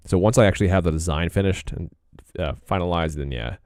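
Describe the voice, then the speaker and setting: A young man talks loosely to his stream while he works, drifting from one thought to the next and clearing his throat now and then. His voice sits low and comes through smooth and clean. The sound is dry and close, with no room around it. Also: darkish tone, no room sound. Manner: laid-back, rambling, frequent throat clearing. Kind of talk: casual live-stream chat while drawing.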